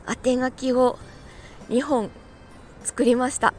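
A woman chatting casually on her own like a morning podcast host, talkative and quick to laugh. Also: high-pitched, fairly even intonation, a little mellow, soft background music. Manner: chatty, laughs easily while talking. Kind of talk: casual solo morning chat.

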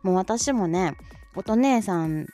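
A woman's voice: mid-range voice, lively intonation, takes it slowly. A woman hosting a casual livestream, chatting and making announcements in a bubbly, giggly manner while reacting to listeners. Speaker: host of a short audio livestream, amateur voice-drama club member. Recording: soft music bed under voice. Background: soft background music.

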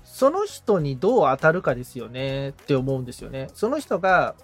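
Animated, tentative male podcast assistant. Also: medium pitch, fairly quick, breathy edge, faint music behind. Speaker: podcast assistant being coached. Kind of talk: casual conversation, asking and musing.

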